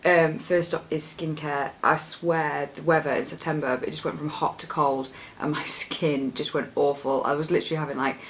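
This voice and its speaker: A young woman with a husky voice, chatting casually about her favourite products in a rambling, filler-heavy way. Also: low voice, little pitch movement, normal speed, few pauses, slight background noise. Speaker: beauty vlogger. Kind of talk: casual product chat to camera.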